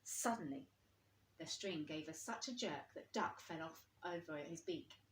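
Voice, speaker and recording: A woman's voice: deep voice, lively intonation, unhurried, a little hiss or hum. A woman reading a storybook aloud to young children like a teacher, expressively and with questions for her listeners. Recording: roomy, echoing indoor sound.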